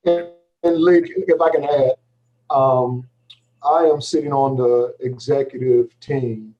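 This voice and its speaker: A man's voice: medium pitch, steady tone, slow pace, lo-fi sound, soft background music. A man on an executive team speaking enthusiastically and emphatically at a public meeting.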